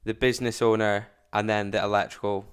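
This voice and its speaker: A man giving casual, slangy reaction commentary as a streamer, with blunt loud outbursts. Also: fairly low pitch, flat delivery, fairly quick, smooth.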